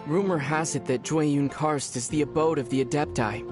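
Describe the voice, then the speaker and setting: A man voicing a calm, wry young adventurer in scripted game dialogue, speaking in a measured, articulate way. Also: a little high, loud music behind the voice, lightly acted. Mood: musing.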